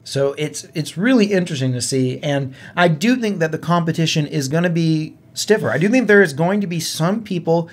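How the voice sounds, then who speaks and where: A man's voice: on the high side, animated, a little fast, hardly any pauses, breathy edge. A man co-hosting a gaming podcast in casual, conversational style. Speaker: podcast co-host.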